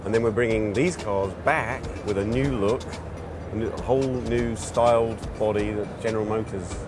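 A middle-aged man, a racing series founder, answering interview questions at a trade show in an animated, self-deprecating way. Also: on the low side, moderate pace, noisy background. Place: busy indoor trade show hall. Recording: music bed under voice.

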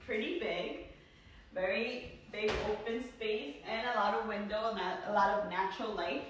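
A woman's voice: mid-range voice, moderate pace, distant mic, highs cut off, noisy background. A woman from New York giving a casual walking tour of her new house, chatting with lots of ums and likes. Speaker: vlogger giving a home tour. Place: indoors, sparsely furnished new house. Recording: echoey bare rooms, voice off-mic.

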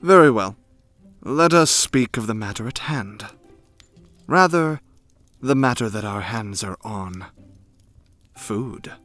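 A man acts out a sinister young nobleman's retainer for an ASMR roleplay. His voice is low and his delivery unhurried, formal and scornful. He sounds coldly devoted and suspicious, with music playing faintly underneath. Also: lively intonation, a bit bright, dry recording. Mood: measured, formal. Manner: formal, measured, haughty, ominous. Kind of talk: ASMR roleplay, fan audio drama.

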